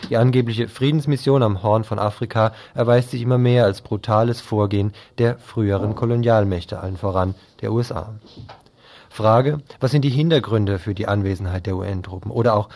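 Male local radio presenter, fairly low voice, reading event notices. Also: a little fast, a little mellow.

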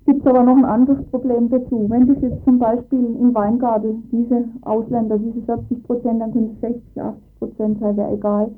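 A woman's voice: a little high, little pitch movement, normal speed, few pauses, faint music behind. A woman giving her views as a local resident in an informal radio discussion, explaining firmly and often tagging statements with a short confirming word. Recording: tinny narrowband archive radio recording.

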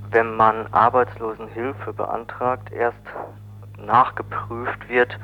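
A man answering interview questions as an activist spokesman, explaining haltingly and repeating words as he goes. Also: fairly low pitch. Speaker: spokesman for an unemployed people's initiative. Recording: dull, faintly hissy old radio interview.

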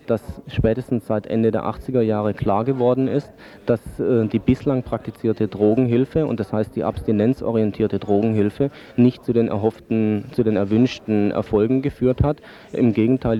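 A man giving formal, measured explanations as an expert guest in a radio interview, with dull lo-fi sound. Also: lowish voice, dark tone. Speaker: drug-aid expert guest.

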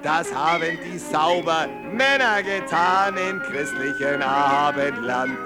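A man's voice: fairly high pitch, huge pitch swings, slow pace, loud music behind the voice. A man singing a satirical political folk ballad in a mocking, theatrical way, over musical accompaniment. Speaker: protest folk singer. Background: musical instrument.

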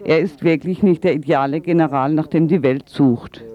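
A man's voice: on the high side, normal speed, narrow, tinny audio. A man working as an interpreter, giving a voice-over translation of an interview.

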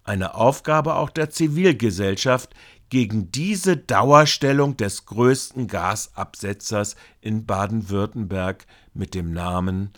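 A man reading scripted, critical news commentary in a formal, halting way with long sentences. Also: on the low side. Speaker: reporter and commentator.

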